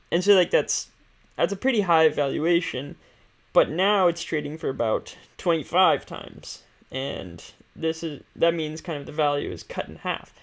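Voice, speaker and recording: Casual, slightly high-pitched man explaining, creaky edges. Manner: informal, hesitant, thinking aloud. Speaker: host of an investing stream. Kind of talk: casual unscripted explanation of company finances.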